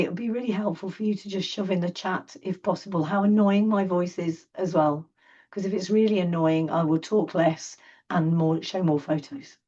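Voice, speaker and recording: Hoarse woman presenting over a video call. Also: on the low side, a little mellow. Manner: chatty, apologetic, self-deprecating. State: losing her voice, hoarse. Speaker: charity presenter. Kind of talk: online presentation. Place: home desk. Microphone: computer mic on video call. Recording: distant, dull video call audio.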